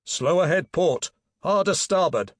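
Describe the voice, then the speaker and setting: A man voicing a commanding naval officer in an audiobook, shouting clipped, urgent orders. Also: on the high side, expressive, measured pace, no room sound, voice pushed up.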